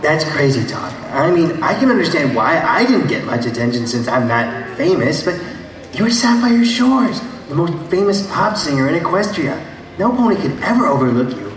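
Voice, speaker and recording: A man voicing a kind, modest little dragon, earnest and reassuring, in an animated radio play. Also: a little high, moderate pace. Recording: echoey hall playback, distant, faint noise.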